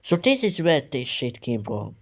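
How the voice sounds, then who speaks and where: A man giving informal, halting reaction commentary, with casual swearing. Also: medium pitch, wildly swooping pitch, crowd noise.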